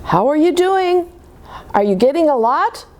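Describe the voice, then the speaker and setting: A woman's voice: sits high, sing-song, a little slow, darkish tone, voice pushed up. A woman voicing an eager, curious little boy bear in a children's storybook, chirpy and full of questions. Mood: eager questioning.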